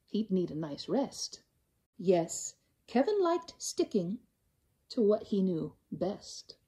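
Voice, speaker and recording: A woman reading a rhyming storybook aloud to children in an expressive, theatrical, drawn-out way. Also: on the high side, slightly rough.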